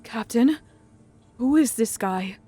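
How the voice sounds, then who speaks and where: A woman plays a young alien crew member with an old grudge in an audio roleplay. Her voice is fairly high and breathy, the words coming very slowly and haltingly with intense feeling. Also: animated, mellow, soft background music, heavily acted. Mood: worried. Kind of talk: ASMR audio roleplay drama.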